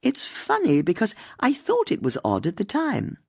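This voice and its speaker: A narrator voicing a brisk, confident, determined older woman in an audiobook mystery. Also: medium pitch, wildly swooping pitch, gravelly, slightly rough, dry recording, studio quality, pitched above the performer's own voice, full character voice. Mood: intrigued. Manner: brisk, decisive, inquisitive.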